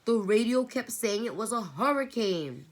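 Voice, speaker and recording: A woman's voice: low voice, a little slow, breathy edge. A woman reading aloud and voicing an anxious teenage girl who wails nervously. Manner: nervous, wailing.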